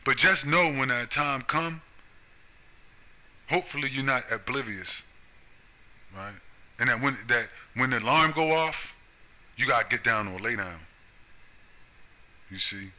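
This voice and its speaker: A man from the United States speaks in a hard, piercing tone at a middle pitch. He rants bluntly and profanely in a solo monologue, driving each point home with a repeated "right?" or "you see?". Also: lively intonation, a little slow, pauses often, sharp tone. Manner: confrontational, profane, keeps tagging lines with "right?" and "you see?". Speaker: video commentator.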